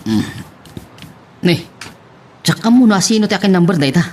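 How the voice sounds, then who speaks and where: A man's voice: high-pitched, animated, normal speed. A man playing a defensive, put-upon young husband in an audio drama, with an Ilocano Filipino accent and a hesitant delivery. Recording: faint background noise.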